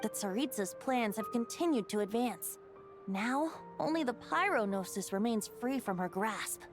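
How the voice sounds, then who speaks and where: A woman's voice: fairly high pitch, lively intonation, moderate pace, over music, close to an everyday voice. A woman voicing a carefree young bard deity in a video game, with a playful, wry, theatrical delivery. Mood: reflective. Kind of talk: scripted video game voice acting.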